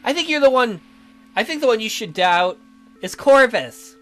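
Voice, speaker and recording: A man acts out a resentful young prisoner stuck in a boy's body. The voice is pitched high and swings widely as it accuses and complains in a theatrical, sarcastic way, with game music behind it. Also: animated, normal speed, fairly bright tone. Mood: indignant. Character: bitter imprisoned youth stuck in a child's body, sarcastic, resentful. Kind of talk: visual novel character read-aloud.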